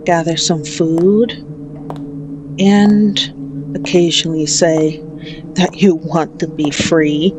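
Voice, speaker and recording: A woman playing a sadistic, possessive female mafia boss in an ASMR roleplay, mocking and menacing, with drawn-out taunting speech. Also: steady tone, background noise. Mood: bitter, menacing. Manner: slow, taunting, drawn-out with frequent low chuckles.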